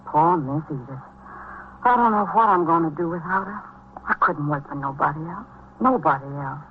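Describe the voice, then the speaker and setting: An actress playing a grieving, devoted housemaid in a vintage radio drama, plaintive and repetitive, with folksy, deferential speech. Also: female voice, low voice, lively intonation, normal speed, phone-quality sound. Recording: thin, band-limited old radio, noisy.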